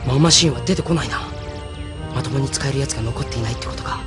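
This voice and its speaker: A man's voice: medium pitch, fast, over music, close to an everyday voice. A man playing a brave, impulsive young mecha pilot in a Japanese audio drama. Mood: determined. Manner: impulsive, defiant.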